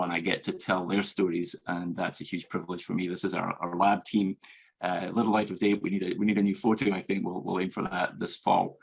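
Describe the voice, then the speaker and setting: A man with a Scottish accent giving an academic medical lecture as a professor, in a measured, earnest and hesitant way. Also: sits low, gravelly, husky, low-quality recording. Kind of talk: academic grand rounds presentation.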